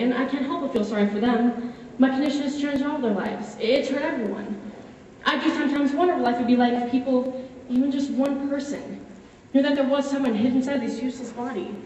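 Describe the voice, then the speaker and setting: A wry, wistful fourteen-year-old boy played by a woman confides his story in a dramatic stage monologue. The voice is fairly high and conversational, broken by dramatic pauses. It rings through a very echoey hall, picked up from a distance. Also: dark tone, lightly acted. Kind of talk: solo dramatic monologue, direct address. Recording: echoey hall, distant, muffled, faint noise.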